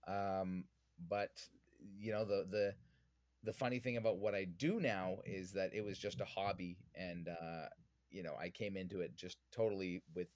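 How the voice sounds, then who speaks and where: A man's voice: sits low, normal speed, a little mellow, no room sound. A man from Vancouver with a Canadian accent, answering interview questions conversationally as a podcast guest. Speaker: podcast guest, whiskey influencer.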